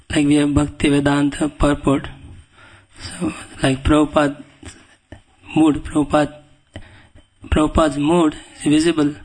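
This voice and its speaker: A man with an Indian accent giving a devotional scripture lecture as a guest preacher, speaking humbly and haltingly and often clearing his throat. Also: fairly high pitch, a little mellow, rough recording. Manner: humble, deferential. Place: speaking at a temple.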